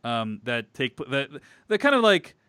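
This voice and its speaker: A man's voice: mid-range voice, wildly swooping pitch, normal speed, sharp tone, smooth, no room sound, clean studio sound. Rambling man hosting a film podcast. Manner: rambling, many restarts and fillers. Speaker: podcast host. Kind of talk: casual film discussion.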